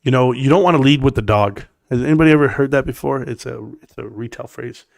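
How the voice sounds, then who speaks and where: A man's voice: mid-range voice, fast, gravelly, no room sound, clean studio sound. A middle-aged man with a scratchy voice, giving a blunt, emphatic, opinionated stock rant to viewers on a livestream. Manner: blunt, emphatic, talks straight to the chat. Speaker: stock market live streamer.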